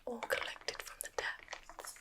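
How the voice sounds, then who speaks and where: A woman voicing a gently sinister female forest witch in an ASMR roleplay, whispering softly with teasing dark humor. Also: takes it slowly, close to an everyday voice. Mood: hushed, sly. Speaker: ASMR creator.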